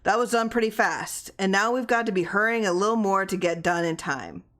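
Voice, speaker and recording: A woman voicing a brisk, formal small-town official in a story reading, giving orderly, matter-of-fact directions. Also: mid-range voice, fairly bright tone, close to an everyday voice.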